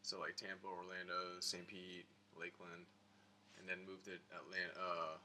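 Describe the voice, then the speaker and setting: Interview guest: American male, lower register with a sharp edge, loose conversational rambling. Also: completely flat delivery, moderate pace, slight background noise. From United States (Florida and Georgia). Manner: rambling, informal, peppered with "like".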